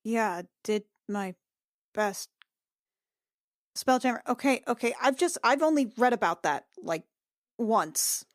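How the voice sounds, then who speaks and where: A woman playing an anxious young medic in a tabletop game, halting and stammering, repeating her words. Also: fairly high pitch, expressive, dry recording, studio quality. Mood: flustered worry. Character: rattled, anxious young woman medic and ship's crew member.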